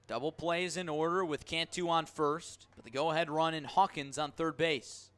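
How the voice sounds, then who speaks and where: A man calling a baseball game live on radio as play-by-play announcer, measured between pitches and lifting his voice on the action. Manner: measured narration rising to excited shouts on key plays. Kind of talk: live sports commentary.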